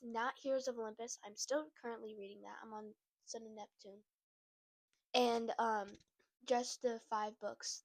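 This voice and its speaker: A woman's voice: a little high, a little mellow, no room sound. A woman chatting casually to her viewers while a little sick, rambling with lots of fillers and restarts. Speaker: book content creator. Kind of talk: casual chat to camera.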